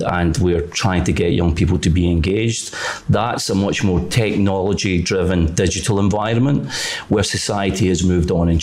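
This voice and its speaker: A Scottish man who leads a further education college, talking in a debate in a measured, formal way with some restarts. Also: lowish voice, a little mellow. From Scotland. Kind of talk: political debate discussion.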